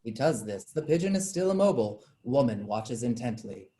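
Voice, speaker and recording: A woman's voice: very deep voice, very animated, moderate pace, dark tone. A woman reading stage directions as scripted narration for a staged play.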